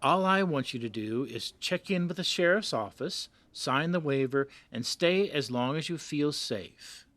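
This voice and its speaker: Magazine boss, played by a man: patronizing, coaxing, highly animated delivery, close dry recording. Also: unhurried, few pauses, dry recording. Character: persuasive, dismissive male magazine boss sending a reporter out. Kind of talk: audio drama acting.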